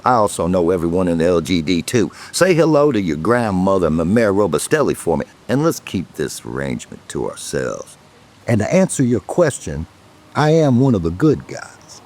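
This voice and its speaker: An actor playing a world-weary, curt and sardonic police detective in an audio drama. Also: male voice, sits low, big pitch swings, normal speed, a little mellow. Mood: stern.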